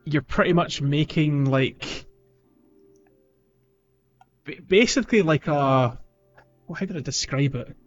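A man's voice: a little high, slow, long pauses, no room sound, dull audio, faint music behind. A man co-hosting a gaming podcast in casual chat, hesitating as he searches for words. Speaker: podcast co-host.

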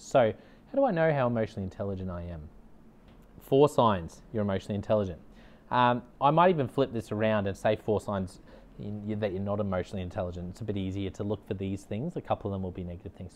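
Aussie guy, fairly low voice, earnest upbeat coach-type talk, says things twice to hammer them home. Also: darkish tone, slight background noise. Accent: Australian. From Australia. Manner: earnest, upbeat, repeats key lines for emphasis. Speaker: leadership consultant and podcast host. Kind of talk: direct-to-camera motivational talk.

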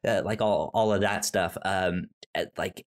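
A man co-hosting a podcast in casual film chat, halting and often repeating the starts of his words. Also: low-pitched, fairly even intonation. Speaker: podcast co-host.

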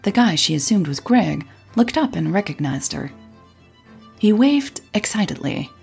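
A woman narrating scripted audio fiction as a podcast storyteller, in a measured, dramatic way. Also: deep voice, creaky, darkish tone, breathy edge.

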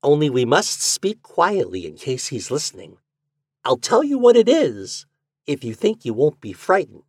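A man voicing an eager, twitchy, unhinged man in an audiobook story, speaking with urgent, conspiratorial excitement. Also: on the high side, normal speed, husky, no echo, pitched above the performer's own voice, full character voice.

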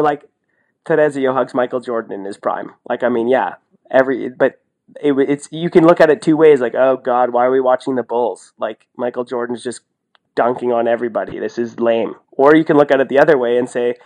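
A man with a medium-pitched voice co-hosting a casual sports podcast. He talks in an enthusiastic, emphatic way and tends to ramble. The style is loose and conversational, like analysis between friends. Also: fairly even intonation, fairly quick, a little mellow. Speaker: podcast co-host and ski racing commentator.

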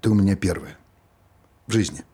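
An actor playing a shy man in his forties on stage, speaking in short, deadpan replies with a dark, husky voice. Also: low voice, a little fast. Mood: shy, terse. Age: 40s. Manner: laconic, deadpan. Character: terse, shy, inexperienced man of forty-two. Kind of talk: understated stage acting.

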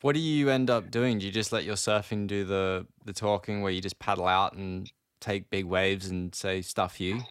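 A man's voice: low-pitched, fairly even intonation, normal speed, fairly bright tone, clean tone, no room sound, studio quality. A man from East Coast Australia with an Australian accent, hosting a casual interview and asking conversational questions. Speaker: podcast host, interviewer.

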